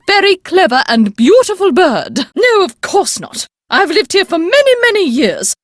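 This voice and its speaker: A woman's voice: high-pitched, no echo, clean studio sound. A woman playing an elderly, upper-class English great-aunt in a video game, proper, haughty and emphatic, stern but fond. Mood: indignant, scolding. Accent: English, upper-class British. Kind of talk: video game character dialogue.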